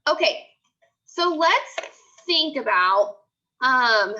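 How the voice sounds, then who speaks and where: Female teacher, bright high voice, instructional read-aloud delivery with repeated phrasing, somewhat dull online-class sound. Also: expressive, drawn-out words. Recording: dull lo-fi audio.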